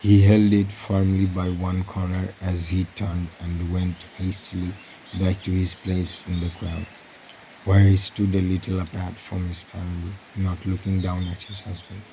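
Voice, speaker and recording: Low-pitched man reading a story. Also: completely flat delivery, a little slow, noisy background. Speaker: audiobook reader. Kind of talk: scripted story narration.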